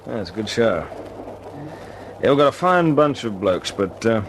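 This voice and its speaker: An actor playing a brisk, commanding wartime air force squadron leader in an old-time radio drama, speaking in a clipped, authoritative way. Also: male voice, fairly low pitch, big pitch swings. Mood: easy, confiding. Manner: clipped, authoritative, informal with his men. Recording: noisy old radio recording.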